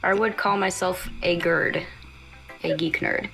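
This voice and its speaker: A woman's voice: lowish voice, fairly even intonation, unhurried, a bit bright, faint music behind. A woman from the United States chatting casually as a livestream guest, effusive and rambling. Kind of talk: casual chat.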